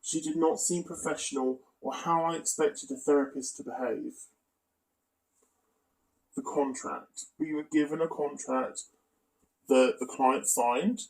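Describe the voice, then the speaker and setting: A man's voice: on the high side, steady tone, measured pace, long pauses, low-quality recording. A man giving a critical, matter-of-fact spoken commentary, presenting evidence as in an online exposé.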